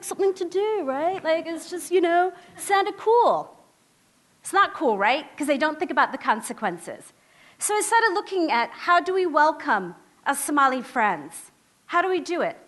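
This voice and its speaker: A woman public defender giving a talk, telling a story in an animated, conversational way and acting out the people she quotes. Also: sits high. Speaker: public defender and symposium speaker. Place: lecture stage before a live audience.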